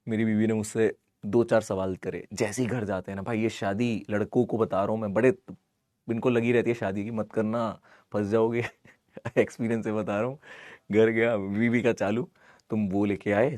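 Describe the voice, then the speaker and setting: A man with a hoarse voice teaches an informal live online maths class, teasing and scolding his students and repeating phrases for emphasis. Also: lowish voice, level tone, normal speed, a little mellow, no echo, studio quality. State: hoarse, sore throat.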